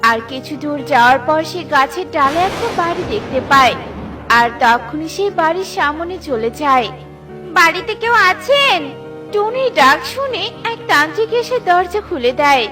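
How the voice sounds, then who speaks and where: Female narrator, scripted children's storytelling, lower than her character voices, loud music behind. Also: lively intonation, moderate pace.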